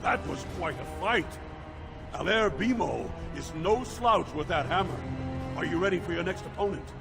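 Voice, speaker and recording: An actor voicing a proud, formal dwarven proving master who announces in a grandiose, declamatory way for a fantasy game. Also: male voice, high voice, lively intonation, moderate pace, vocal fry. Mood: respectful. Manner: grandiose, declamatory, deferential to nobility. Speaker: arena announcer. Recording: loud music behind the voice. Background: loud game music.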